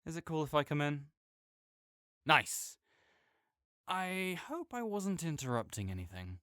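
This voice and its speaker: A man playing a smooth-voiced caring friend. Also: on the high side, sing-song, unhurried, full character voice. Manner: gentle, reassuring, softly joking. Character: caring, easygoing young male friend. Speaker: friend comforting the listener. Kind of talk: audio roleplay spoken to the listener.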